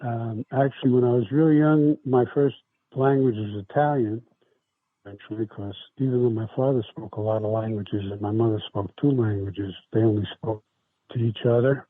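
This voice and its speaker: A man, telling his life story in a rambling, halting way. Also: medium pitch, steady tone, dry recording. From South Brooklyn, New York. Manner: rambling, halting, many restarts and fillers. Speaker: interview guest. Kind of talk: casual life-story interview. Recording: tinny phone-like dry sound.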